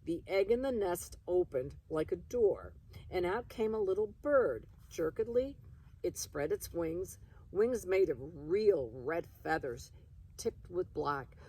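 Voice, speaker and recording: Unhurried woman reading a story, husky voice. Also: on the low side, expressive, dark tone, distant mic. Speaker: reader of a children's book. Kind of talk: expressive read-aloud storytelling.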